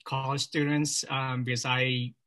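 Older man, bright voice, friendly and umming. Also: medium pitch, flat delivery, a little slow.

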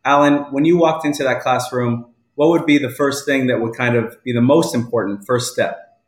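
A man's voice: mid-range voice, normal speed. A man hosting a casual live online talk show from home, chatting with guests. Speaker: live chat show host. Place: indoors at home. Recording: roomy home echo.

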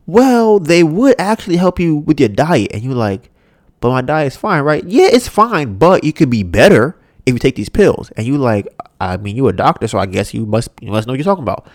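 A man's voice: fairly quick, no room sound, studio quality. A young man hosting a podcast, ranting casually with a stuffy, nasal voice and lots of swearing. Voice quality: congested, nasal. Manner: animated, mocks others in high put-on voices, swears. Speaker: podcast host. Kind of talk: casual solo rant.

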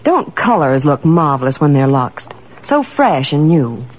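A woman's voice: low-pitched, very animated, a little slow, a little hiss or hum. A woman delivering scripted advertising dialogue in the style of 1940s radio. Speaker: commercial spokeswoman. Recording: muffled old radio recording.

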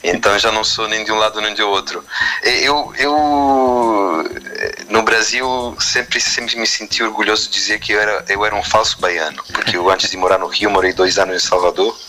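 An Argentine-born musician being interviewed, speaking Portuguese with a mixed Brazilian and European Portuguese accent, thoughtful and halting with many restarts. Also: male voice, lowish voice, steady tone, sharp tone, low-quality recording. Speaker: musician guest. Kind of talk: reflective interview answers.

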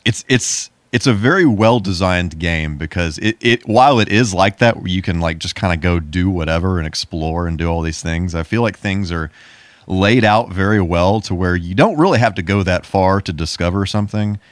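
A man's voice: deep voice, normal speed, no room sound. A man in a casual, rambling and enthusiastic chat about video games, as a podcast co-host.

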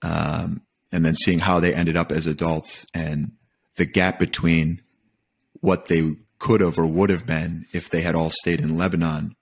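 A man from Michigan talking casually and reflectively as a podcast guest, feeling out his phrasing in a halting, thoughtful way. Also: low voice, level tone, creaky, breathy edge. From Michigan, United States. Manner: thoughtful, halting, rephrases as he goes. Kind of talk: casual reflective interview talk.

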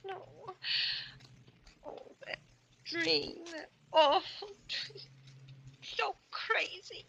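An actress playing an anxious young wife rattled by a nightmare in a 1940s radio drama, breathless, fretful and rambling. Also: female voice, very high-pitched, extremely slow, vocal fry, a bit bright, exaggerated voice. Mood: frightened, dreamy. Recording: muffled old-time radio recording, music behind.

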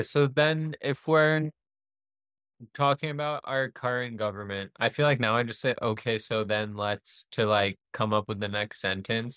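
A man's voice: medium pitch, a bit bright, no echo. Male podcast host, clean resonant tone, dry recording, loose conversational delivery with meandering, roundabout questions. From born in the US, raised in Pakistan. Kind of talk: casual conversational interviewing.

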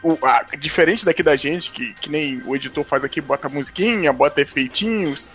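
A man's voice: on the high side, brisk, a bit bright, faint music behind. A Brazilian man co-hosting a podcast, talking in a laid-back, jokey way and swearing freely. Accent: Brazilian Portuguese. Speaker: podcast co-host. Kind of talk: casual chat.

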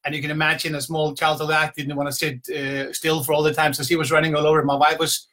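A man's voice: fairly high pitch, flat delivery, fast talker, pushed and bright. A middle-aged man from Iceland with an Icelandic accent, giving an informal motivational talk from home in a conversational, rambling storytelling manner. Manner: conversational, rambling storytelling with repeated "you know". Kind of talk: informal motivational talk to a live online audience. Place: at home, indoors.

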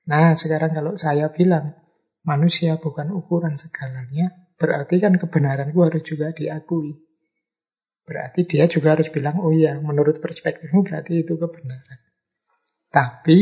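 This is a man with a fairly high-set voice. He explains like an informal lecturer, posing questions and answering them himself. His delivery is conversational, leaning hard on some phrases and dropping softly on others. The recording is somewhat dull and lo-fi. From Indonesia. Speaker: philosophy lecturer.

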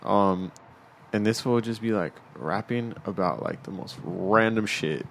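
A man's voice: on the low side, measured pace, fairly bright tone, a little hiss or hum. A man in his twenties co-hosting a podcast, telling stories full of fillers and slang. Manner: rambling storytelling, lots of "like". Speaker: podcast co-host. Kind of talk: casual podcast chat.

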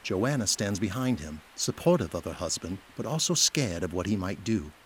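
A man's voice: deep voice, big pitch swings, moderate pace, slight background noise, low-quality recording. A man reading scripted narration for an audio drama.

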